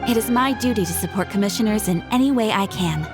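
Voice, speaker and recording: A woman voicing a courteous, dutiful guild receptionist in a video game, formal and measured. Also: medium pitch, over music, natural voice. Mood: helpful.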